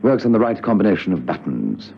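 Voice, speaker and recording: Offhand, gravelly security clerk, dull radio. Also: male voice, lowish voice, sing-song, quick pace. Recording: dull, noisy old radio recording.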